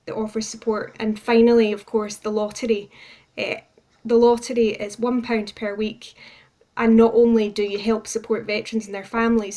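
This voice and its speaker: A woman's voice: medium pitch, darkish tone. A woman speaking as a charity representative in an informative radio interview, with an earnest, appreciative way of talking. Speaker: charity campaigns manager, interview guest.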